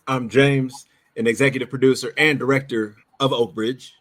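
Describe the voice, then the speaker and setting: A man co-hosting a casual chat show, thinking aloud in a halting, repetitive way. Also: medium pitch, steady tone, a bit bright. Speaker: co-host and director.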